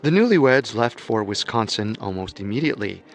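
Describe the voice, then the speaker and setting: A man narrating a true-crime radio drama in a steady, storytelling manner. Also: fairly low pitch, fairly quick, soft background music. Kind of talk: scripted documentary narration.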